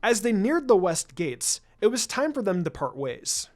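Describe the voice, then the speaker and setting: A woman's voice: medium pitch, big pitch swings, normal speed, a little mellow. A woman reading a story aloud in a scripted narration style. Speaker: fan fiction reader. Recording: background music under the voice. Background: music.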